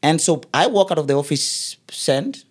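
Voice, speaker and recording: A middle-aged man, an event MC telling a story as an interview guest, lively and acting out the voices he quotes. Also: fairly high pitch, normal speed, breathy edge. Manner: lively, acts out quoted voices, laughs often.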